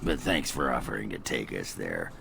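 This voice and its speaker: Very deep, gravelly dwarf warrior. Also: male voice, sing-song, normal speed, a bit bright, full character voice. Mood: gruff, rambling. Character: gruff, lewd, boozy middle-aged male dwarf warrior. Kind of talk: fantasy video game voice acting.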